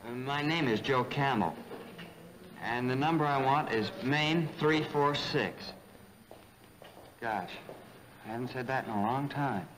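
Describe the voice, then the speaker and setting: An actor playing a nervous, homesick young American soldier in a 1940s film, halting and gentle, with an American accent. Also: male voice, mid-range voice, slight background noise, natural voice, rough recording. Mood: nervous, eager. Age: young adult. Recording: old film soundtrack with music.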